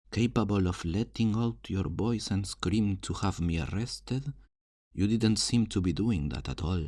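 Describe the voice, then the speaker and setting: A cocky fantasy thief played by a man with a very low voice. He takes his time over every phrase, flirting and teasing with theatrical self-assurance. The sound is close and dry, as in an intimate audio roleplay. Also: dark tone, no room sound, full character voice. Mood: sly, admiring. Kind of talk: fantasy ASMR roleplay, addressing the listener.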